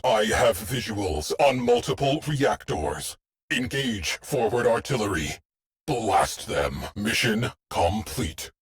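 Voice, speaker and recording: A low, husky male ship AI from a sci-fi game. He speaks in clipped, deadpan commands with a slow, measured delivery. The temper is stoic and coldly commanding, like a tactical officer issuing orders. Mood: brisk command. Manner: clipped, deadpan, short imperative phrases. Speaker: tactical mission operator. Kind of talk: scripted video game voice lines.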